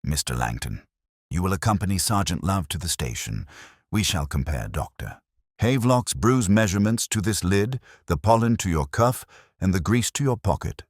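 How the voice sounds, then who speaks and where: Man playing a very deep, courteous police inspector. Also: sing-song, no echo, clean studio sound. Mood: courteous, firm. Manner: gentle, measured, merely courteous. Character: courteous, unhurried male police inspector, dryly ironic.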